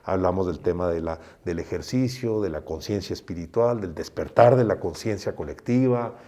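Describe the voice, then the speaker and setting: A middle-aged man from Mexico with a low, mellow voice, speaking as a doctor in an interview. He talks earnestly and unhurriedly, often repeating words as he restarts his phrases. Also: normal speed. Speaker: guest doctor.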